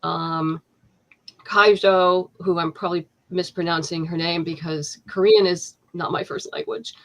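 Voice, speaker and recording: A woman with a slightly low voice talks about her comic in a loose, chatty way, full of ums and restarts. She sounds like a guest on an online interview, speaking over a video call. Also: expressive, a little slow, a bit bright. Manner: chatty, many fillers and restarts, laughs easily. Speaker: comic book writer, guest. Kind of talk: casual interview chat.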